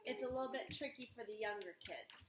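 A woman's voice: on the high side, fast talker. A woman in her thirties, a teacher, leading an upbeat craft lesson for kids at a home table, explaining step by step. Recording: echoey home room, background noise.

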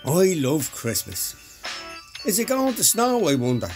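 A man's voice: fairly high pitch, huge pitch swings, a little slow, darkish tone, soft background music. An actor playing a genial, appeasing Irish man at dinner in a comedy radio drama, soothing and good-natured. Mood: contented.

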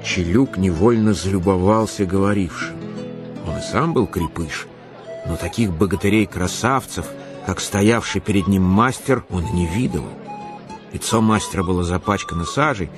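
An actor reading as the author-narrator of a literary period tale in a radio play. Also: male voice, low voice, moderate pace, over music, close to an everyday voice. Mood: measured storytelling.

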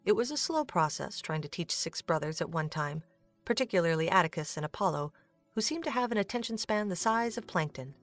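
A woman's voice: fairly low pitch, lively intonation, a little fast, dry recording, faint music behind. A woman reading scripted narration for an audio drama, as a storyteller.

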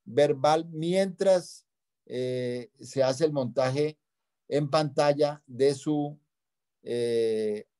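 A man, hosting a formal online presentation in a deliberate, didactic way with rhetorical questions. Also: fairly high pitch, mellow. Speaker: project presenter and meeting host. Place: indoors, video call.

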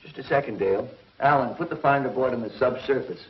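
Actor as earnest 1950s space hero, muffled sound. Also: male voice, medium pitch, fast talker, close to an everyday voice. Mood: businesslike. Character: steady, decisive young male space hero. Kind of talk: 1950s TV serial acting. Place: studio control-room set.

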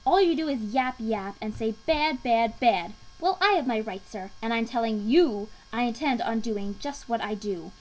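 A woman's voice: sits high, animated, normal speed, fairly bright tone, low-quality recording. A woman voicing a brash, greedy male tycoon from a storybook, bossy and defiant, with emphatic rhyming delivery. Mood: angry, defiant.

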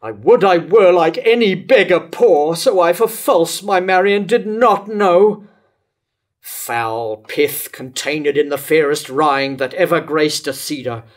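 Older man playing a jealous, tormented king, slow-spoken. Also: fairly high pitch. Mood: anguished, bitter. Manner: declamatory, deliberate, dramatic pauses. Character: jealous, tormented king Herod, wrathful and lovesick. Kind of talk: staged reading of Jacobean verse tragedy. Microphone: microphone on stand at lectern.

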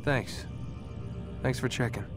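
A man's voice: mid-range voice, slow pace, over music, lightly acted. An actor playing a defiant young male captive in a video game, sarcastic and casual. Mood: subdued. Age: young adult. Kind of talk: naturalistic video game acting.

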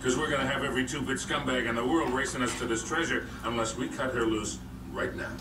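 An actor playing a gruff, wry older treasure hunter, the hero's world-weary mentor, in a video game. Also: male voice, mid-range voice, fairly even intonation, quick pace, far from the mic, background noise, lightly acted. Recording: game audio played in an echoey room, music behind.

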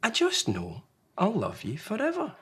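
A man's voice: high voice, huge pitch swings, moderate pace, a bit bright, breathy edge, pitched above the performer's own voice, exaggerated voice. A man voicing a big, patient, loving parent fox in a storybook, tender and reassuring. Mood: soothing, loving.

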